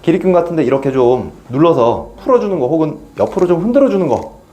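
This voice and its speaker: A man, a pain clinic doctor, explaining neck muscles and exercises to a patient in a relaxed, plain-spoken way. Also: a little high, fast. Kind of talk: explanatory health talk with patient.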